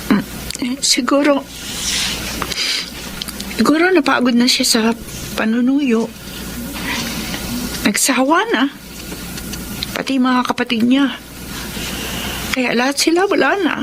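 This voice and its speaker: A woman's voice: high-pitched, slow, long pauses, background noise. An actress playing a hesitant, wounded woman afraid of love, in a Filipino radio drama with a Filipino accent. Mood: sad, afraid. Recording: music bed under the voice.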